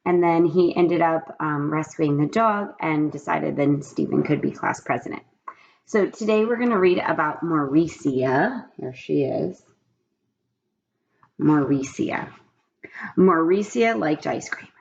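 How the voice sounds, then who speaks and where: A woman reading a storybook aloud to children as a teacher, with expressive, simple phrasing. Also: deep voice, a little slow, creaky, muffled recording.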